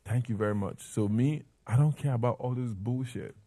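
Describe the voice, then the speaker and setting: A man's voice: medium pitch, moderate pace, dark tone, dry recording. A man with a Nigerian accent talking conversationally as an interview guest, sharing personal stories.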